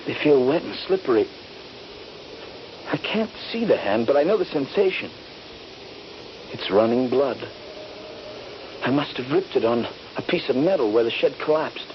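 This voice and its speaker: An actor playing a trapped, wounded Union soldier, fearful and halting, in a 1970s radio drama. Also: male voice, mid-range voice, animated, lightly acted. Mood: pained, uneasy. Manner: halting, dramatic, intense delivery. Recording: noisy, dull 1970s radio drama recording.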